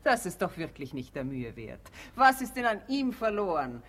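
Haughty, greedy wife, wildly swooping voice. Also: female voice, lowish voice, sing-song, moderate pace. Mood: relieved, scornful. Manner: haughty, melodramatic. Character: cold, greedy, false wife of a rich man. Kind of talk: German radio play acting of classic comedy.

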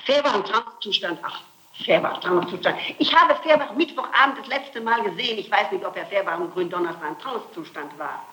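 A woman's voice: medium pitch, expressive, a little fast, sharp tone, roomy sound, low-quality recording. A woman being questioned as a suspect, answering with insistent, pleading and emphatic denials on an archival interrogation tape. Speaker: suspect under police interrogation.

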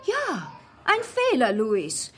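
A woman's voice: sits high, wildly swooping pitch, drawn-out words, husky, soft background music, full character voice. A woman playing a jealous, ambitious wife in a German radio drama, sarcastic, insinuating and manipulative. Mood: pressing, scornful.

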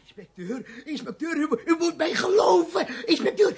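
A man's voice: extremely high pitch, very animated, moderate pace, vocal fry, darkish tone, full character voice. An actor playing a confused older man in a radio play, stammering and pleading as he insists on being believed. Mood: agitated, pleading. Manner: stammering, repeating himself insistently. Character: confused, pleading old fantasist begging to be locked up.

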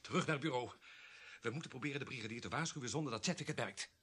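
A man's voice: medium pitch, rapid-fire, a bit bright, slightly rough. An actor playing a keen, wry junior policeman in a radio play, speaking informally. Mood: curious, tense. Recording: noisy radio drama recording.

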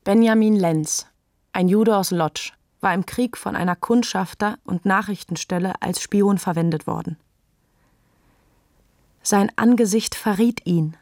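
Creaky voiced woman narrating a literary radio play, deliberate and emphatic, dry close sound. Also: medium pitch, darkish tone, dry recording.

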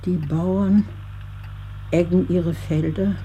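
A woman playing a quiet, dreamy poetic voice in a radio play, reciting slowly in hushed fragments. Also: on the low side, steady tone, a little hiss or hum, rough recording. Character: quiet, dreamy female voice of poetic nature images.

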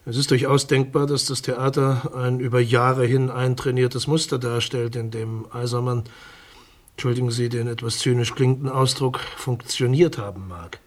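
An actor playing a state prosecutor in a radio crime drama, speaking in a measured, bureaucratic and somewhat condescending way. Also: male voice, mid-range voice, fairly even intonation, close to an everyday voice. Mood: measured, matter-of-fact. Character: dismissive, formal male state prosecutor defending his findings.

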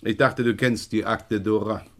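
A man acting a police chief inspector in a radio crime play, with a low voice in a dry, close recording. He is brisk and sceptical, giving orders with gruff authority. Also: steady tone, a bit bright, no room sound, close to an everyday voice. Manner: commanding, dryly gruff. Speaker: actor.

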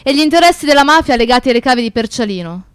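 A woman's voice: high voice, expressive, racing, clean tone, no room sound, clean studio sound. A woman playing a comrade who bears witness in a radio drama, in a declamatory, incantatory storytelling manner. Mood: accusing. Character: woman comrade bearing witness, tender yet accusing storyteller. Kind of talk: dramatic radio theatre narration.

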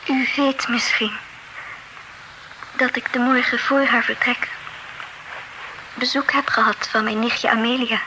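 A woman playing a soft-spoken dignified young heiress. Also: on the high side, fairly even intonation, lightly acted. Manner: soft, often near whisper, measured. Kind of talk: old radio drama acting. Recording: noisy old radio play recording.

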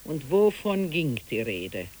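A woman's voice: sing-song, darkish tone, heavily acted, low-quality recording. An actress playing a dreamy, musing country woman who knits, in a literary radio play. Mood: musing, probing. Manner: slow, musing, swooping in pitch. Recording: hissy old radio recording.

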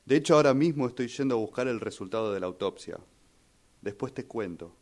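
Un hombre con acento argentino que interpreta en un radioteatro a un hijo en duelo, dubitativo y lleno de sospechas. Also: male voice, mid-range voice, expressive, a little fast, a little mellow, natural voice. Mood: uneasy, suspicious. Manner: hesitant, repeats phrases. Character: grieving son troubled by suspicions over his father's death. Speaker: radio drama workshop participant. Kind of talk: rehearsed radio drama acting.

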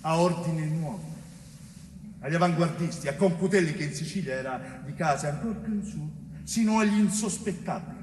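An actor playing a grave, dogged police investigator in a theatre monologue, speaking in measured, emphatic clipped phrases with weighty pauses. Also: male voice, a little high, a little mellow, natural voice. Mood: grave, insistent. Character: grave, dogged male police investigator recounting a betrayed inquiry. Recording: echoey hall, distant mic, faint noise.

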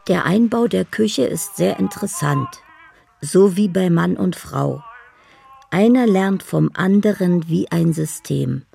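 An actress playing a dreamy, lovelorn woman in a radio play, speaking poetic love letters in a deliberate, solemn way. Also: on the low side, creaky, mellow, slightly rough, soft background music, heavily acted. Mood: tender, solemn. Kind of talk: radio play inner monologue.

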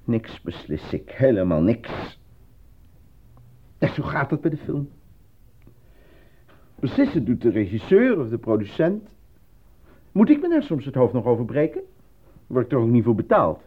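An actor playing a frustrated, embittered film actor and defensive husband in a theatrical, exasperated radio drama style. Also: male voice, mid-range voice, huge pitch swings, a little slow, long pauses, husky, exaggerated voice. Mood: irritated, defensive. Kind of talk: Dutch radio drama acting. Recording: muffled old radio play recording.

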